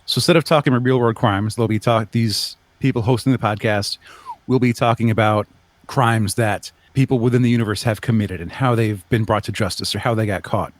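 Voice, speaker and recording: A man with a fairly low voice speaks as an interview guest in casual conversation. He explains his work earnestly, and the sound is dry and close, with little room to it. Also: steady tone, a little fast, dry recording. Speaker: guest, audio drama creator.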